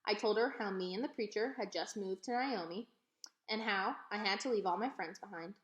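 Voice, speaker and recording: A woman reading a children's novel aloud in an expressive storytelling style. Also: medium pitch, brisk, dull audio. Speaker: read-aloud reader. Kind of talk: expressive children's book narration. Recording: echoey, roomy sound.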